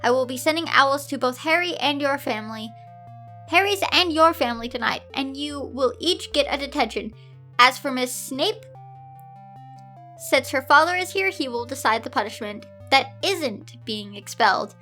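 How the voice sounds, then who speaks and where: A formal, strict lady professor from a storybook , read out by a woman. She admonishes in a high, bright voice, with faint music behind her. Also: animated, normal speed. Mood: stern, measured. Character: formal, strict female professor, head of house. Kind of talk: fan fiction storybook reading.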